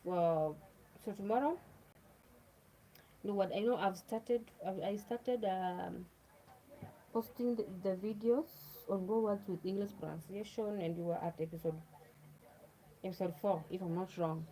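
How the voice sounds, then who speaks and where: A woman's voice: mid-range voice, unhurried, long pauses, mellow, slight background noise, rough recording. A Kenyan woman whose first language is Luo, chatting casually to viewers on a livestream, repeating herself and speaking to them directly. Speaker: livestream host.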